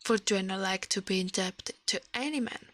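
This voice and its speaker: A woman voicing an elegant, self-assured shadow gentleman from a storybook, formal and boastful, in a soft breathy voice. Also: mid-range voice, brisk, lightly acted.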